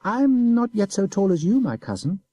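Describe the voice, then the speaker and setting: A man's voice: high-pitched, very animated, moderate pace, mellow, no room sound, highs cut off, pitched above the performer's own voice. A man voicing a sly young boy who mocks the soldiers with a pert, sneering manner. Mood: sly mocking. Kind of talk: audiobook character voice.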